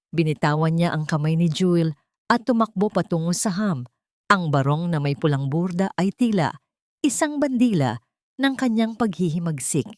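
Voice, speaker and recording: Female storyteller, slightly low voice, highly animated intonation, suspenseful drama narration, very dry close sound. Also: dry recording.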